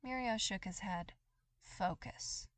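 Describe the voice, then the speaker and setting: A woman reading a story aloud as scripted, expressive dramatic narration. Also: mid-range voice, slow, no room sound. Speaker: podfic reader.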